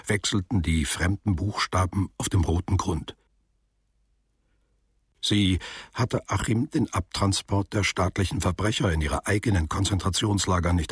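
An actor playing a reflective narrator who tells a literary radio drama in a measured, precise, deliberate way. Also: male voice, low-pitched, breathy edge, no echo, studio quality. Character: reflective male author-narrator recounting a boy's postwar memories.

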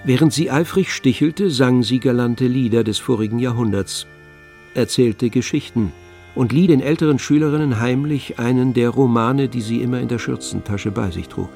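Gravelly male radio-play narrator. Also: mid-range voice, moderate pace, warm tone. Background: music.

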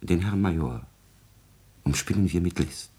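A scheming court secretary from a classical radio drama. The voice is very low and husky, with lively, swooping intonation. The delivery is obsequious and formal, always calculating and insinuating. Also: male voice, measured pace, exaggerated voice. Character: scheming, servile male court secretary plotting intrigue.

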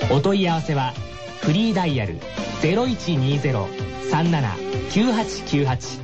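A woman announcer reading a scripted radio commercial. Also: on the low side. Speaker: advertisement announcer.

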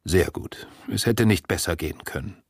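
A man's voice: low-pitched, moderate pace, husky, no echo, studio quality. A man voicing a courteous, condescending aristocratic prince in a literary story. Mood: gracious. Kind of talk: audiobook character reading.

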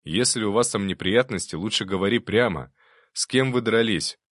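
A man's voice: fairly low pitch, moderate pace, fairly bright tone, no echo, clean studio sound. A man voicing a wry, commanding male baron who questions his men sternly in an audiobook. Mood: firm.

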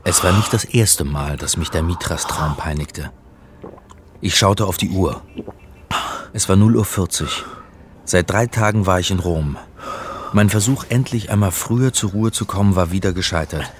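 Low-voiced, bookish male scholar played by an actor. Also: normal speed, a little hiss or hum, lightly acted. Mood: brooding, wry. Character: bookish male scholar of religion narrating his story. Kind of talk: radio crime drama, first-person narration.

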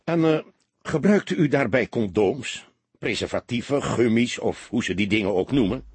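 A man voicing a young male detective in an audiobook, an awkward but insistent questioner who speaks haltingly. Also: medium pitch, expressive, darkish tone, slightly rough, dry recording. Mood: awkward, pressing. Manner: halting, pressing, slightly apologetic.

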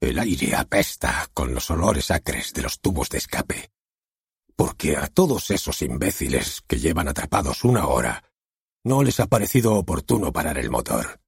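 A man voicing an explosive, self-dramatizing male theater director who rants, swears and shouts in a gravelly, husky voice. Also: rumbling low, very animated, normal speed, no echo, studio quality, voice pushed down, heavily acted. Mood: dramatic.

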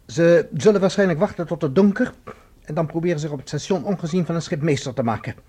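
Irritable academic, radio drama, articulate and testy, raised register with husky grain. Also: male voice, fairly high pitch, fast, dark tone. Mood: reluctant, explaining. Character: irritable male professor advising his captor. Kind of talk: science fiction radio drama acting.

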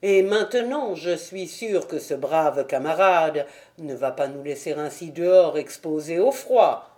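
Woman as a confident male detective, mellow dark tone, lowish pitch, composed, wry, storybook. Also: animated, a little slow. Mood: amused.

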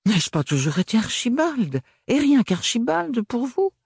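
A man's voice: extremely high pitch, very animated, a little slow, vocal fry, a little mellow, slightly rough, no room sound, studio quality, voice pushed up, heavily acted. A man voicing a smug, ironic male ambassador who talks in an airy, mocking, sugary way.

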